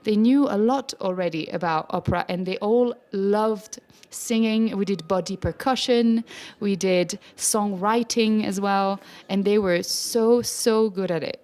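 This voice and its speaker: A woman's voice: mid-range voice, a little slow, a little mellow. A Swiss French mezzo-soprano, a native French speaker, talking with enthusiasm in an interview about her opera workshops for children, laughing often. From French-speaking Switzerland. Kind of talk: festival interview.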